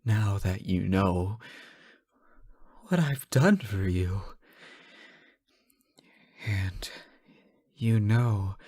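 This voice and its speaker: A man acts out a yandere fallen angel, utterly devoted and dangerously obsessed. His deep-set voice moves very slowly through an intimate roleplay monologue, full of theatrical pauses. It drops to a murmur, then surges with fervor. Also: slightly rough, heavily acted. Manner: theatrical, halting, swinging between hushed murmurs and impassioned outbursts.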